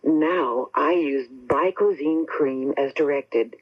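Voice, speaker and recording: An actor playing a relieved itch sufferer in a testimonial radio commercial, with a husky voice. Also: male voice, fairly high pitch, slow pace. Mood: relieved, earnest. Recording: dull old radio commercial.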